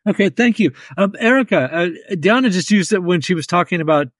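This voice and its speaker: A man moderating a panel, thanking the last speaker and asking the next his question in a short, conversational way. His voice sits somewhat high for a man, and the recording is close and dry. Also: lively intonation, brisk, fairly bright tone, no echo.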